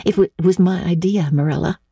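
A woman's voice: fairly low pitch, fairly quick, mellow, husky, no echo, studio quality, pitched above the performer's own voice. A woman voicing an eager, imaginative young girl in a storybook reading, breathless, gushing and earnest as she pleads. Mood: eager, urgent. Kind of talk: dramatic audiobook reading of classic literature.

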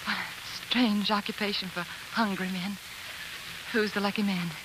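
A woman's voice: mid-range voice, fairly even intonation, moderate pace, noisy background, close to an everyday voice. Woman acting earnest, spirited, devoted wife. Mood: eager, affectionate. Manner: earnest, articulate. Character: devoted, spirited, determined politician's wife and daughter. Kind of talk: 1940s radio acting, with dramatic narration. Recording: vintage 1940s radio recording.

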